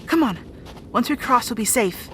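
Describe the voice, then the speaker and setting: A woman's voice: a little high, lively intonation, moderate pace, slight background noise, close to an everyday voice. A woman playing a brusque, guarded young rat princess in an audio roleplay drama, bossy and conversational. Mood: uneasy, alert.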